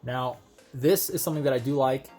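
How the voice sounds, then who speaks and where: A man's voice: medium pitch, fairly quick, over music. A man giving a casual, conversational hands-on product demonstration, explaining things step by step as a video host.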